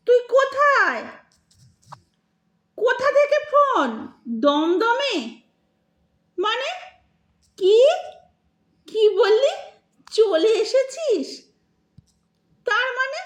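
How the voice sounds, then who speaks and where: A woman playing a sharp-tongued older Bengali wife and mother in an audio drama, scolding with sarcastic emphasis. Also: extremely high pitch, exaggerated voice. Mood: shocked, disbelieving. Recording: roomy, echoing sound.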